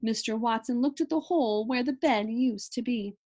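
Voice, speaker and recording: A woman reading a children's book aloud in an animated, deliberate storybook delivery. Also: a little high.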